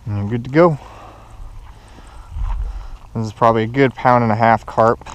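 A man's voice: medium pitch, steady tone, normal speed, muffled recording. An American man casually narrating his solo fishing outing as a vlogger, recorded outdoors on an action camera. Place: outdoors on a lake shore. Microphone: head-mounted action camera.

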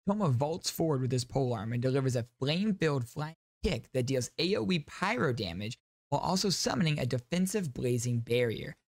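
A man's voice: mid-range voice, no echo. Young man, enthusiastic gaming host, dry sound. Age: young adult. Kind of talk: upbeat game reaction commentary. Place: small home streaming room, indoors. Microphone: close studio microphone on a boom arm.

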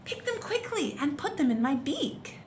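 An eager storybook pelican, put on by a woman, urging someone on like a secret accomplice. The voice is high, bright and very animated, in an echoey room. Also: moderate pace. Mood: conspiratorial. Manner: urgent, hushed, repeating words. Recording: roomy echo, faint background noise.

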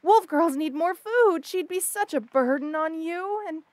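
A woman's voice: very high voice, measured pace, darkish tone, no room sound. A woman voicing an obsessive, jealous lamia monster girl in an intimate audio roleplay, flustered, boastful and gushing, with stammers.

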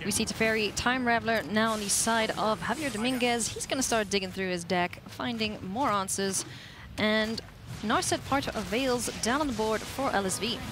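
A woman's voice: a little high, normal speed, background noise. A woman hosting and commentating live on an esports match from a broadcast desk, on a headset mic. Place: broadcast desk in an event arena. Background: music.

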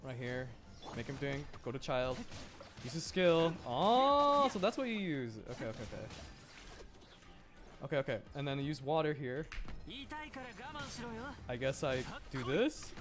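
A man's voice: mid-range voice, lively intonation, measured pace, pauses often, a bit bright. A young adult man giving casual live commentary as he plays a video game, muttering to himself and exclaiming in frustration. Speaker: streamer playing a video game.

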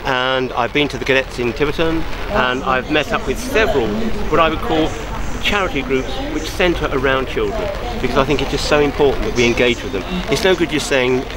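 A man's voice: mid-range voice, a little fast, hardly any pauses, a loud crowd, low-quality recording. An older man chatting in a rambling, jokey way, interviewed outdoors at a rainy show ground close to the camera. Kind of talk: casual interview. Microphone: camera held close.

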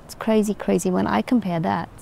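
A young woman from Austria, chatting casually to the camera as a vlogger, relaxed and talkative. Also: mid-range voice, brisk, creaky, slight background noise, rough recording. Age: young adult.

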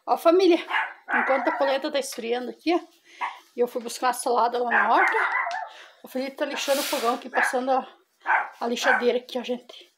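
A woman's voice: high-pitched, quick pace, very noisy. A middle-aged woman, chatting to her viewers as she shows a cooking recipe in her farm kitchen and keeps calling them "gente". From Brazil. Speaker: cooking vlogger. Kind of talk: casual cooking demonstration.

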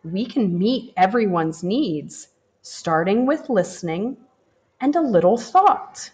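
A woman, a teacher, reading a children's picture book aloud in a gentle, expressive manner. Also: mid-range voice. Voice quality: expressive and animated, slow and gentle. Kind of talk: children's storybook read-aloud. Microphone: camera over the book, hands turning pages.

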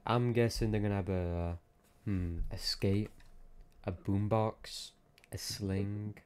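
A man's voice: on the low side, steady tone, slow pace, a little mellow, clear, resonant tone. A young man giving casual, chatty commentary on a team game scrim.

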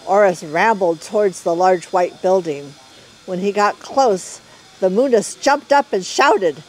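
A middle-aged woman reading a children's story aloud in an expressive storyteller's way. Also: medium pitch, a little slow, poor audio.

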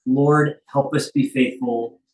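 A middle-aged man speaking earnestly and haltingly in an interview, reflecting as he goes with deliberate pauses. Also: medium pitch, steady tone, a little mellow, a little hiss or hum. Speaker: studio head being interviewed. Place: small office, on a video call. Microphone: phone some way off. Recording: roomy, distant phone microphone.

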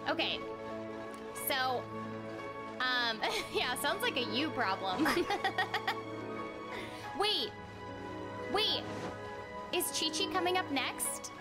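A young woman live-streaming a game in a high, animated voice. She talks casually and playfully at a slow pace, with game music clearly behind her. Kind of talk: casual live stream chat while playing.

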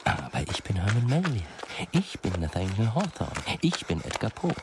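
An actor playing an obsessed, menacing man in a German radio play, with intense, brooding monologue and a gravelly voice. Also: lowish voice, big pitch swings, normal speed. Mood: feverish. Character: obsessed, menacing, feverish murderous stalker, a man. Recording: loud background noise.

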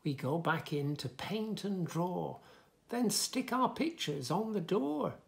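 Middle-aged man, children's storyteller, lively swooping intonation, gravelly creak, sing-song read-aloud. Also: sits high. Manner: sing-song, expressive, slow emphatic reading with soft asides. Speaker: children's story reader, host. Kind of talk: animated picture-book read-aloud to young children. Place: small furnished room indoors, bookshelves.

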